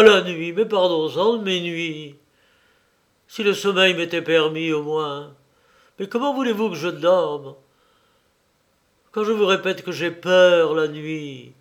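A tormented, frightened young man in a literary story, acted by a male narrator. He pleads with his mother in agitation, his voice fairly high and his delivery slow. The tone is dramatic and desperate. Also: a bit bright.

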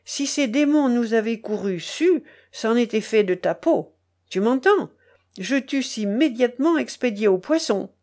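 A woman's voice: on the high side, animated, unhurried, a little mellow. A woman voicing a brutal, commanding male smuggler in an audiobook, harsh, mocking and imperious in manner. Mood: mocking, calmer. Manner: harsh, imperious, growling orders. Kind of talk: dramatic audiobook character voice.

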